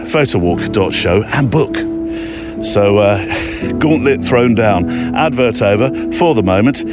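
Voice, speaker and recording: Animated man hosting a podcast. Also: lowish voice. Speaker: podcast host and interviewer. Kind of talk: conversational podcast interviewing.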